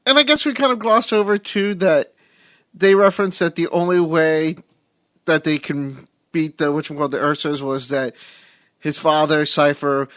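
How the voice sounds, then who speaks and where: A man co-hosting a movie podcast who mostly agrees with his friend and adds his own points now and then. He has a fairly high voice that sounds dry and close, with no room echo. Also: fairly even intonation, moderate pace, a bit bright. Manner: agreeable, chimes in with short backchannels. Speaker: podcast co-host. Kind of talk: casual movie review chat.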